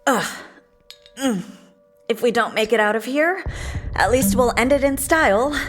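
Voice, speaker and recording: A woman's voice: fairly high pitch, lively intonation, measured pace, a bit bright, soft background music. A woman acting in an audio drama as a practical, dry-humoured woman who takes charge, in naturalistic dialogue. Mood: dry, resolute.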